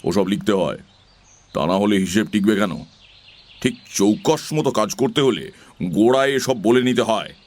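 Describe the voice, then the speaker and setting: A man's voice: fairly low pitch, animated, moderate pace, a little mellow. A man voicing a pompous, fussy talking crow bookkeeper in a theatrical children's audio story. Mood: self-important, explaining. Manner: pompous, theatrical, officious delivery.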